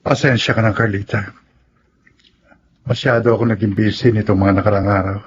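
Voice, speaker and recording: A man's voice: on the low side, fairly even intonation, moderate pace, lightly acted. A man playing an earnest, emotional young man in a Tagalog radio drama. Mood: apologetic, regretful. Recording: muffled lo-fi with clear noise.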